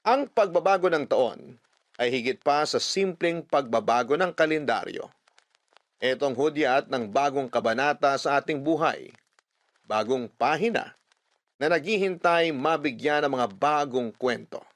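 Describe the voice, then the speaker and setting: A Filipino man with a mid-pitched voice hosts a radio drama, reading letters as dramatic first-person narration. His delivery is earnest and sentimental. The sound is close and dry. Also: unhurried, no room sound.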